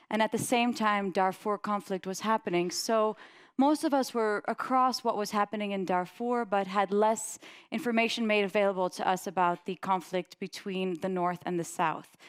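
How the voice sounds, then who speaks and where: A young woman from the Netherlands giving a presentation, telling personal stories in an animated way and laughing often. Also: medium pitch, smooth. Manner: animated, laughs often, lively voices in anecdotes.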